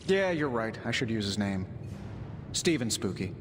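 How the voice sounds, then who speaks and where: Cocky wisecracking inventor hero, swooping delivery. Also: male voice, on the low side, sing-song, moderate pace, long reverb tail, noisy background. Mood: confident, playful. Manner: glib, teasing, sarcastic. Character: cocky, wisecracking genius inventor and superhero, a man. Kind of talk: video game cutscene acting.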